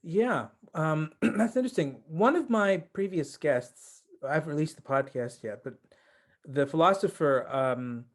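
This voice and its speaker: A man's voice: a little high, a little slow. A man interviewing as a podcast host, thoughtfully building up long questions in a conversational way.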